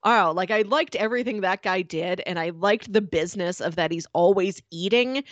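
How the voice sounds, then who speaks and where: A woman chatting casually as a podcast co-host, telling stories in an animated, playful way. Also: mid-range voice, normal speed, no room sound, dull audio. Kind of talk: casual chat.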